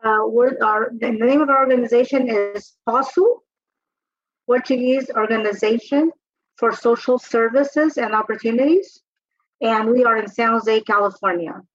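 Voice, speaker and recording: A woman's voice: a little high, normal speed, no room sound, lo-fi sound. A woman from Angola who works for a social service agency, introducing herself politely and formally on a panel. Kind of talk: self-introduction.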